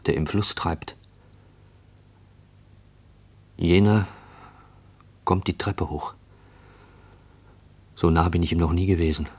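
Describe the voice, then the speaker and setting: An actor playing a haunted, watchful narrator in a literary radio play monologue, measured and brooding. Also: male voice, sits low, slightly rough, heavily acted. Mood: frozen dread.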